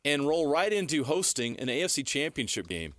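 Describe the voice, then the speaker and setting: A man's voice: fairly high pitch, big pitch swings, a little fast, a bit bright, dry recording. A man co-hosting sports radio, debating casually in an opinionated, emphatic way.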